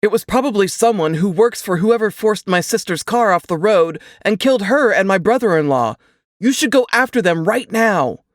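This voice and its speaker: A woman's voice: mid-range voice, animated, moderate pace, no echo, clean studio sound, voice pushed up, close to an everyday voice. A woman voicing a brawny young soldier, an insistent and earnest man protective of a baby, in an audiobook. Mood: urgent. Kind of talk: audiobook character dialogue.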